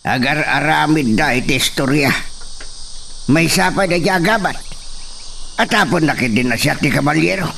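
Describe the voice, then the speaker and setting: A man's voice: on the high side, expressive, normal speed, gravelly, long pauses, fairly bright tone. A man with an Ilocano accent acting a wounded, worried father who labours on a farm, in a radio drama. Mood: troubled. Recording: distant mic, faint noise with insect sounds.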